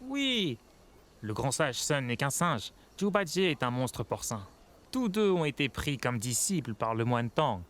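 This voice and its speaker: An actor playing an admiring street storyteller in an animated film, declaiming legends. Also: male voice, medium pitch, measured pace. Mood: assured, reverent. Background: music.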